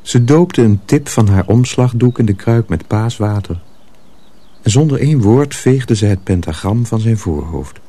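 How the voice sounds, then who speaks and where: Calm, low-voiced storyteller. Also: male voice, normal speed. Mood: calm, solemn. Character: calm, solemn storyteller of a dark tale. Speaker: narrator. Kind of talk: scripted narration.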